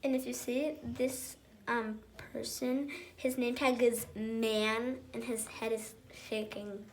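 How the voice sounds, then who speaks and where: A woman's voice: fairly high pitch, flat delivery, slow, slight background noise. A female co-host casually commenting on a picture book in a musing, questioning way. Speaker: read-aloud co-host.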